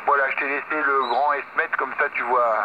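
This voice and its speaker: A man's voice: mid-range voice, fairly even intonation, normal speed. A French man at his home radio station, chatting easily over the air with technical talk and light laughs. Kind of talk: casual radio contact chat. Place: home radio shack with amp and 3D printer running. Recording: muffled radio audio with clear hiss.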